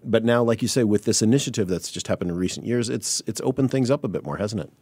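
A man hosting a radio interview in a studio, asking relaxed, conversational questions. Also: lowish voice, fast talker, breathy edge, no room sound. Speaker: radio host.

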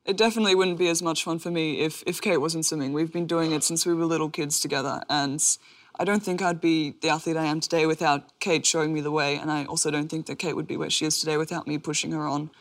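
A woman's voice: sits low, steady tone, fast. A woman, answering interview questions in a chatty, self-deprecating way with lots of fillers. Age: 20s. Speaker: interview guest, athlete.

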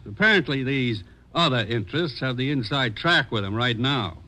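An actor playing a brisk, authoritative government chief handing out orders in a radio drama. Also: male voice, medium pitch, lively intonation, sharp tone. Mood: urgent. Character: brisk, urgent government boss giving an assignment. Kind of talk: 1950s radio drama acting.

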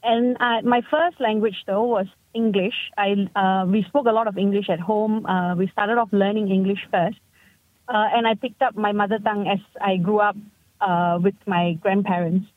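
A woman's voice: medium pitch, steady tone, no room sound. Woman on a tinny phone line. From Malaysia. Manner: halting, thoughtful, searches for words. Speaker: caller. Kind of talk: call-in radio chat.

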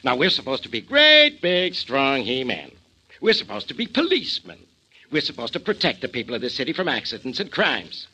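An actor playing a gruff, hot-tempered, sarcastic police inspector in a 1940s radio drama. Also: male voice, mid-range voice, huge pitch swings, a little fast, pushed and bright, heavily acted. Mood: exasperated, sarcastic. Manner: blustering, sarcastic, badgering. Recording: dull vintage 1940s radio recording.

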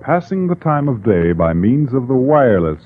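Guy narrating old radio show, dramatic, raspy and expressive, tinny sound. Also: mid-range voice, normal speed. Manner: emphatic, theatrical. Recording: tinny 1940s radio broadcast.

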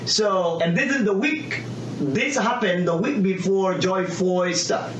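Halting man, far and echoey. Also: high-pitched. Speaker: guest. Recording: distant, echoey with clear background noise.

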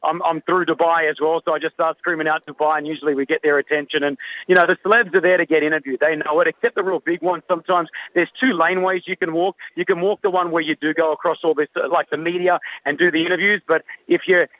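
An Australian man reports live in a casual, chatty radio style. He talks very quickly in a fairly high voice, and the sound is tinny and narrow like a phone call. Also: little pitch movement, no echo. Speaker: radio presenter reporting live from a red carpet. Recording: tinny phone-line connection.

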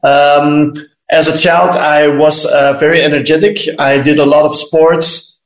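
A young man with a Belgian accent answers interview questions. His voice sits a little high for a man, and the room around it echoes clearly. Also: flat delivery, unhurried. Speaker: podcast guest, dancer and teacher.

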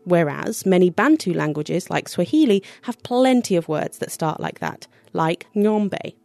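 A woman producer presenting a science podcast in clear, scripted explanatory narration. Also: fairly low pitch, expressive, a little slow, a little mellow, no echo, soft background music. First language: English.

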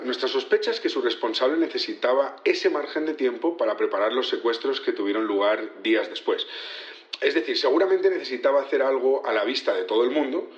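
A man's voice: mid-range voice, roomy sound, highs cut off, natural voice. An actor playing an earnest male security chief testifying in an audio drama, polite and explanatory with slight hesitations. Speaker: witness in a trial. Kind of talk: naturalistic audio drama acting.

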